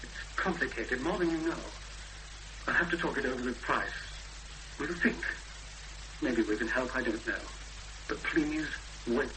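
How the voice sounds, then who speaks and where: An actor playing a wary, guarded man of the valley in a 1970s British radio drama, speaking in halting, clipped sentences. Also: mid-range voice, close to an everyday voice. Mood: guarded, worried. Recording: noisy hissy 1970s radio recording.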